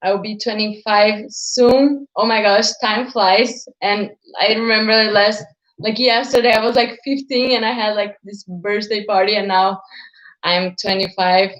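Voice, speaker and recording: A woman's voice: mid-range voice, steady tone, a little slow, a bit bright, roomy sound, highs cut off. A woman in her twenties from Brazil, a native Portuguese speaker, talking casually to livestream viewers, with many fillers like "like" and "uh". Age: 20s. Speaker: channel host. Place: at home.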